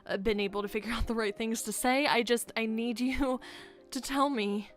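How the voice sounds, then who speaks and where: A woman's voice: a little high, steady tone, moderate pace, natural voice. A woman playing a guarded, flustered young camp leader in an improvised game roleplay, stammering with nervous little laughs. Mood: uneasy, doubtful. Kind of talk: improvised Minecraft roleplay acting. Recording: music behind the voice.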